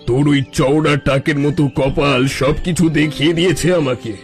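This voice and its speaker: A man's voice: fairly high pitch, steady tone, moderate pace, natural voice. An actor voicing a sly, self-important fake holy man in a cartoon story. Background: background music.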